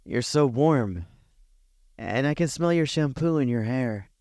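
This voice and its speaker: A man playing a tender, nervous best friend confessing a crush, speaking softly, hesitantly and earnestly in an intimate ASMR roleplay. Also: mid-range voice, lively intonation, a little slow, no echo, clean studio sound. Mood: relieved, overwhelmed. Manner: soft-spoken, hesitant, earnest.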